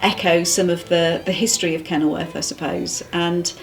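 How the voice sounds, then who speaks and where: Low-voiced middle-aged English woman. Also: fairly even intonation, moderate pace, soft background music, low-quality recording. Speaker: community theatre member being interviewed. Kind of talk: reminiscing in casual conversation.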